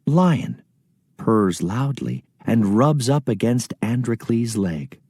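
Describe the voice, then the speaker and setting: A man's voice: mid-range voice, big pitch swings, slow, mellow, breathy edge, dry recording, studio quality. A man narrating a scripted children's play, reading out stage directions and story.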